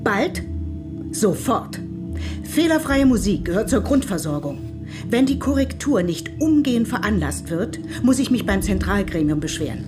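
A woman's voice: mid-range voice, very animated, normal speed, natural voice. A woman playing a demanding, fretful mother in a radio play, speaking precisely and insistently. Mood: insistent, indignant. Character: demanding, fretful mother in a machine-run future society. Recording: loud music behind the voice.

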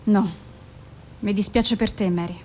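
A woman playing a composed, guarded female doctor in an Italian radio drama, probing in terse, measured phrases. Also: mid-range voice, steady tone, dark tone, a little hiss or hum, lightly acted.

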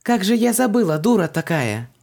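A man voicing an excitable, bossy and squeamish young woman in an audiobook. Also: fairly high pitch, moderate pace, voice pushed up, close to an everyday voice. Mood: excited, commanding. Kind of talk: audiobook character voice.

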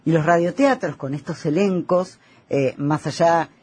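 A man's voice: fairly high pitch, a little slow, breathy edge. A man with an Argentine accent hosting a conversational radio interview. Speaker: radio host.

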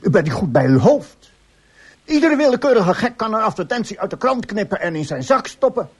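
Radio drama: indignant, haughty brother, high husky voice, easily flustered. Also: male voice, big pitch swings, brisk, full character voice. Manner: stammering when flustered, haughty. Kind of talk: Dutch radio drama acting.

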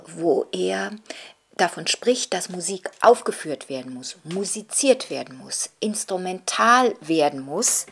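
A woman with a breathy, half-whispered voice that sits slightly low. She talks unhurriedly, weighing each phrase and filling gaps with soft hesitations, in a reflective commentary manner. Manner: slow and hesitant, pausing with fillers. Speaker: expert interviewee. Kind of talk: thoughtful spoken commentary.

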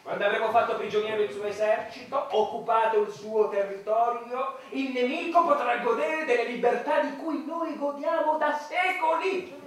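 A bombastic patriot of satirical radio theatre. His very high voice declaims hot-headedly about the glory of war, distant in a heavily echoing hall. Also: normal speed. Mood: solemnly declaiming. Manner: declamatory, theatrical, swinging from hushed to loud. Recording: distant, echoey hall, faint noise.

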